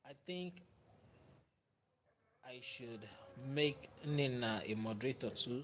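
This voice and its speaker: A man's voice: mid-range voice, slight background noise. A Nigerian man whose first language is Yoruba, hosting a live stream in a casual, conversational way and reading out viewer comments. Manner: conversational, warm thanks to viewers, laughs easily. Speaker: livestream host.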